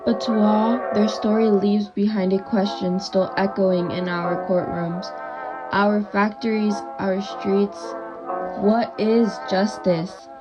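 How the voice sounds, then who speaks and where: A woman's voice: mid-range voice, fairly even intonation, takes it slowly. A young woman co-hosting a scripted 1920s-style radio news show, speaking theatrically with period slang. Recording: muffled lo-fi audio with music behind. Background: music.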